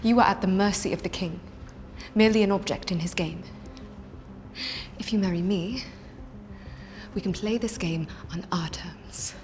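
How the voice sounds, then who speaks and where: A woman's voice: mid-range voice, normal speed, long pauses, darkish tone, soft background music, lightly acted. An actress playing a determined young noblewoman in a period romance drama, with earnest, formal old-fashioned diction. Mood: urgent. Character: determined young noblewoman seeking escape through marriage.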